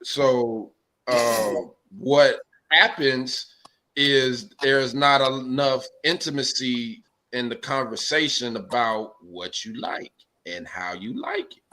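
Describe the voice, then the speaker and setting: A man co-hosting a casual podcast chat, speaking haltingly with many restarts and fillers. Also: medium pitch, bright, forceful tone, poor audio. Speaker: podcast co-host.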